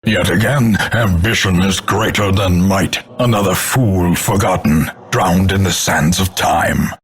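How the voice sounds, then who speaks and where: An actor playing a grim, scornful older male announcer in a video game, grandiloquent and portentous, with a gravelly, husky voice. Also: sits low, a little slow. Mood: scornful, gloating. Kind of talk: theatrical scripted game announcements.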